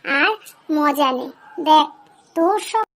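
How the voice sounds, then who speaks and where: A woman's voice: high-pitched, a little slow, a bit bright. A woman voicing a mischievous, forgetful female fairy in a children's cartoon, chatty and playful. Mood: conceding, soothing.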